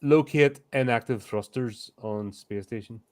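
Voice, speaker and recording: A man's voice: medium pitch, measured pace. A man playing a tabletop roleplaying game, casually planning and narrating his own character's actions.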